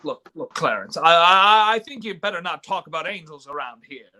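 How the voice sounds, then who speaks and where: Radio play lead: male actor as a despairing folksy everyman, high and bright, halting and stammering. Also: lively intonation, a little hiss or hum. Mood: flustered. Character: despairing, stammering everyman wishing he was never born. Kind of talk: old-time radio play live reading.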